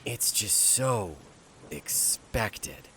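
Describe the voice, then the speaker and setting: A man's voice: fairly low pitch, animated, very slow, sharp tone, slight background noise, heavily acted. An actor playing a wisecracking adult male ship skipper in a fan audio drama, sarcastic and taunting. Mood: mocking. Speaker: hero. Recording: music underneath.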